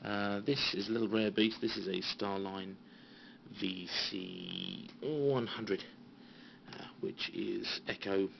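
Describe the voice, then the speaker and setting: A British man giving a casual, halting, understated tour of his hobby equipment, as an enthusiast showing viewers around. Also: fairly low pitch, steady tone, creaky. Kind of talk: casual informal show-and-tell. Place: small radio room at home. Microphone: handheld phone. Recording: dull phone recording with faint noise.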